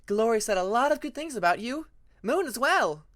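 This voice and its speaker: A nurturing female dragon teacher played by a man speaks in a raised, high-set voice. She is kind and welcoming, a storybook mentor for young students. The sound is close and dry. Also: lively intonation, moderate pace, a bit bright, no room sound. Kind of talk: fan fiction audiobook character voice.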